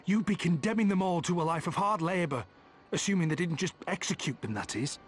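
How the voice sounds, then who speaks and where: An actor playing a wary, pragmatic resistance fighter in a video game, speaking in a grave, measured, firm way. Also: male voice, high voice, breathy edge. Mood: stern. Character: wary, pragmatic male resistance companion, grimly cautious. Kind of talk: video game cutscene voice acting.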